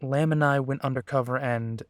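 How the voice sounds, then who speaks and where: A man's voice: medium pitch, fairly even intonation, moderate pace, no room sound, studio quality, natural voice. A man playing a young, protective and determined werewolf in an audio roleplay, speaking earnestly. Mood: serious, determined.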